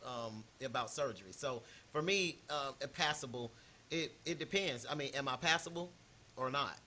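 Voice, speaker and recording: Earnest man talking, bright tone. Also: mid-range voice, normal speed, slight background noise. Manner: earnest, lots of "you know" and restarts. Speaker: panel guest. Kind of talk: casual panel discussion.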